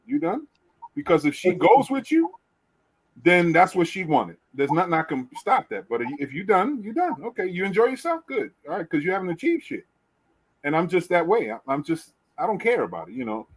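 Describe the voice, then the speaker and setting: A middle-aged man hosting a podcast, chatting casually and telling lively stories with casual swearing. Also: high-pitched, fairly quick, husky, rough recording. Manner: animated storyteller, swears casually. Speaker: podcast host. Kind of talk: casual chat.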